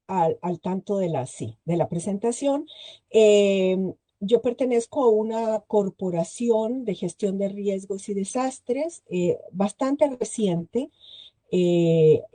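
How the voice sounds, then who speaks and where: Slow, hesitant woman with a dark voice. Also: mid-range voice, no echo. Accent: Costa Rican. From Chile. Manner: hesitant, many filler sounds. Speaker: guest speaker. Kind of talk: virtual forum presentation.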